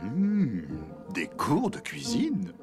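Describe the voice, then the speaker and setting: A man's voice: fairly high pitch, huge pitch swings, drawn-out words, over loud music, full character voice. A man voicing a kindly, reassuring cartoon father and husband. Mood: jovial.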